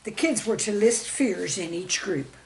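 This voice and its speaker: A woman reading a scripted children's story with expressive, dramatic storybook emphasis. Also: medium pitch, measured pace, slight background noise, rough recording. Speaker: storyteller and author reading own stories.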